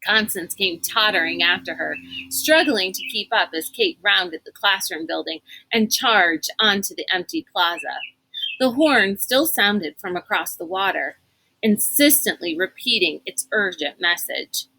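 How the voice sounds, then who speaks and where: Bright-voiced woman reading a story aloud. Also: mid-range voice, unhurried, slight background noise, low-quality recording. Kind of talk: expressive scripted storybook narration.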